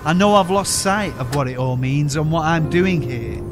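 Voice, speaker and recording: A man's voice: on the high side, moderate pace. Weary Yorkshire man reciting rhymes over music. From South Yorkshire, England. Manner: rhythmic, rhyming delivery, weary and detached. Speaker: spoken word poet. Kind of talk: spoken word poetry over music. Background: music bed.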